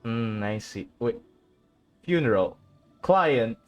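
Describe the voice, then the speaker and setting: A male gaming streamer reacts casually and jokingly. His delivery is unhurried but swings widely in pitch, with faint game music behind him. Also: animated. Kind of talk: casual game playthrough commentary.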